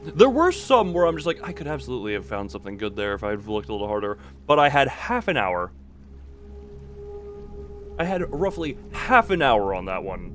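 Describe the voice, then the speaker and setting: A man's voice: medium pitch, very animated, brisk. A man chatting casually on a livestream as host, exclamatory and playfully exasperated. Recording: music behind the voice. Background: loud background music.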